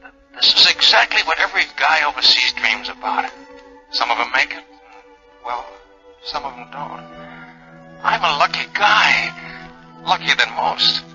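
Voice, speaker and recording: A man's voice: fairly high pitch, animated, a little slow, pauses often, sharp tone, exaggerated voice. An actor playing an earnest young airman in a 1940s radio drama. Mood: earnest, wistful. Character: earnest young airman savoring borrowed time. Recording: dull 1940s radio recording, faint music.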